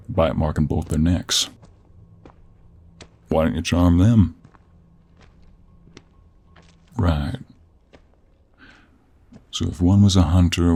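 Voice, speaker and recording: A man playing a gruff, teasing cowboy monster hunter with a Western American drawl and a gravelly, husky voice, in an intimate audio roleplay. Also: low-pitched, warm tone, no echo, heavily acted. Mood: probing, wry.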